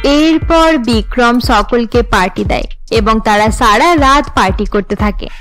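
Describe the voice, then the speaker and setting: High-pitched woman narrating a cartoon. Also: a little slow, fairly bright tone, soft background music. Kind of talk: scripted cartoon story narration.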